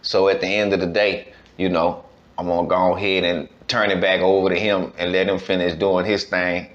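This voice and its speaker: A man's voice: deep voice, flat delivery, normal speed, a bit bright. A man hosting a casual reaction commentary, conversational, often checking in with his listeners as he goes. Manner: conversational, full of check-in phrases. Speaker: host reacting to a clip. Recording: roomy echo, slightly dull sound.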